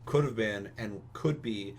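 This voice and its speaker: A man's voice: fairly low pitch, unhurried. A man co-hosting a casual opinionated discussion, speaking vehemently and swearing heavily. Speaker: podcast co-host. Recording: audible steady hum and noise.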